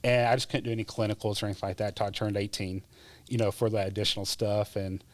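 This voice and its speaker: Low-voiced man telling his story. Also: fast talker, no echo. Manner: relaxed, chatty, laughs often, many fillers. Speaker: guest, former EMT. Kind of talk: casual interview storytelling.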